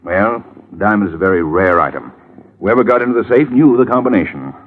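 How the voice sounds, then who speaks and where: Deep, swooping radio-drama lawyer played by a man. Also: animated, fairly quick, natural voice. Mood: evasive. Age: middle-aged. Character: busy, glib middle-aged lawyer, evasive and smooth-talking. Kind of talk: 1950s radio drama acting. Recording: muffled, hissy vintage radio recording.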